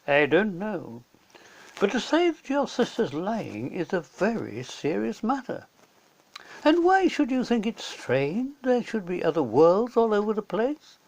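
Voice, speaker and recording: Man acting a logical, animated professor. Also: high voice, gravelly, pitched above the performer's own voice, heavily acted, rough recording. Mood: reasoning. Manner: measured reasoning, questioning. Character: wise male professor, logical and gently firm. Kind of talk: children's storybook character voice.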